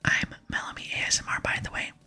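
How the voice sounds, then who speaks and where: A woman voicing a polite, earnest game adventurer in a breathy whisper. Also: fast, bright, forceful tone, soft background music. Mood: polite, eager. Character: eager, polite young adventurer, the game's player hero. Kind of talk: whispered ASMR reading of game dialogue.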